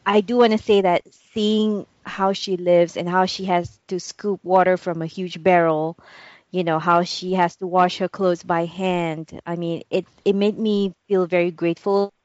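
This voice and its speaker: A woman's voice: on the low side, flat delivery, unhurried, a little mellow, no echo. A woman from Singapore, co-hosting a casual chat, hesitant and full of restarts. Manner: hesitant, many restarts and fillers. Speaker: podcast co-host. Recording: dull lo-fi dry sound.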